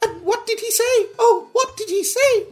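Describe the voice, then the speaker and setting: A man voicing an excitable little female butterfly in a storybook reading. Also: very high voice, normal speed, soft background music, pitched above the performer's own voice. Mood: excited. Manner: excitable, breathless.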